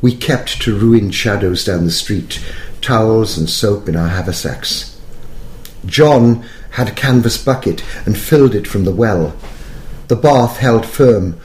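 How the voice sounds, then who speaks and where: A man voicing a posh young English officer of the First World War in a measured, precise poetry reading with a posh English accent. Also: mid-range voice, a little mellow, natural voice. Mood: measured, reflective. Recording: echoey room.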